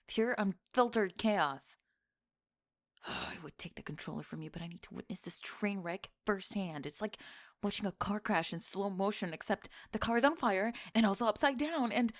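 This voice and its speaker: A young woman playing a teasing, sarcastic tomboy gamer friend in an acted ASMR roleplay, with expressive sighs and laughter. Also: mid-range voice, close to an everyday voice. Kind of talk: acted ASMR roleplay, one-sided conversation.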